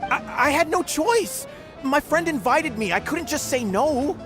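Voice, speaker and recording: A man's voice: very high-pitched, lively intonation, unhurried. An actor playing an eager, self-excusing young male warrior in a video game, with an earnest, pleading manner. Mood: defensive. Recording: loud music behind the voice.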